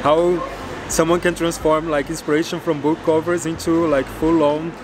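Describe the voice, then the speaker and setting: A man's voice: on the high side, little pitch movement, moderate pace. A man in his thirties, a native Portuguese speaker, explaining things enthusiastically in a casual travel vlog while filming himself at arm's length on a city street. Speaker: travel vlogger. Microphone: camera held at arm's length. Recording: clear outdoor street noise.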